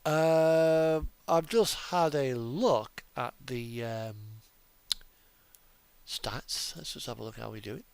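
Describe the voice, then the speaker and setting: A man's voice: fairly high pitch, slow pace, vocal fry, darkish tone, clear, resonant tone. A man giving casual, chatty game commentary as a streamer, hesitant and often trailing off mid-thought. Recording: music playing behind the voice. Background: music, truck engine sounds from game.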